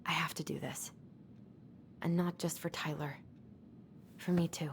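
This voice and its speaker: A woman's voice: deep voice, steady tone, a little slow, gravelly, slight background noise. An actress playing a determined, wounded young woman searching for answers in a video game, speaking earnestly and directly. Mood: earnest, resolved. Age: young adult. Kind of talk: naturalistic video game acting.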